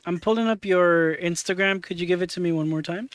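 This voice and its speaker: A man in his twenties hosts a stream in a loose, casual way. His voice is smooth and a little high, recorded dry and close. He trails off and fills gaps with "um" and "like" as he clicks around. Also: dry recording. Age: 20s. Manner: halting, many fillers while working on screen. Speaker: streamer host. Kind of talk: casual chat.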